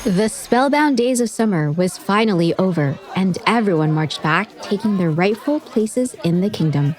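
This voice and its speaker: A woman's voice: mid-range voice, lively intonation, moderate pace, a little mellow, a faint crowd. A woman host telling a scripted story as narrator, with wry, chatty asides. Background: music behind the voice.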